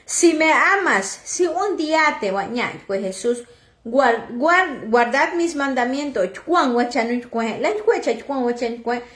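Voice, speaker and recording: A woman from Oaxaca whose first language is Chatino, slowly reading scripture and preaching, with halting restarts and self-corrections as she translates. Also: fairly high pitch, echoey room. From Oaxaca, Mexico. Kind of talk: slow Bible reading and sermon, translated into Chatino.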